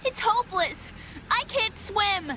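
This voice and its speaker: A woman's voice: very high-pitched, lively intonation, takes it slowly, pushed and bright, full character voice. An actress playing a frightened, stubborn young woman in a video game, hesitant and pleading in her delivery. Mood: panicked. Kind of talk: dramatic video game voice acting. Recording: noisy game scene with water sounds.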